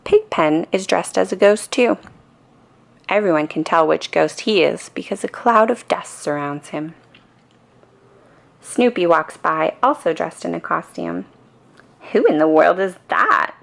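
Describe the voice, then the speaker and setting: Low, creaky-voiced woman reading a bedtime story. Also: measured pace. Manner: calm, expressive storytelling. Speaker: storybook reader. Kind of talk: scripted children's bedtime story narration.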